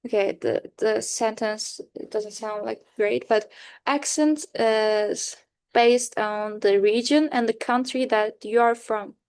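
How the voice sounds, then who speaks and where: A woman's voice: fairly high pitch, fairly even intonation, slow pace, darkish tone, clear, resonant tone. A young woman from Turkey with a Turkish accent, giving a class presentation in a hesitant way, with fillers and self-corrections. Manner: hesitant, many fillers and restarts. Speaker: student presenter.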